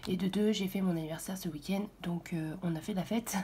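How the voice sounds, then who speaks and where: Hoarse, half-whispering woman. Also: fairly low pitch, steady tone, quick pace, a little hiss or hum. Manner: soft, half-whispered, hesitant with many fillers. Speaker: budget vlogger. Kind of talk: casual budget walkthrough. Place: at home, early morning.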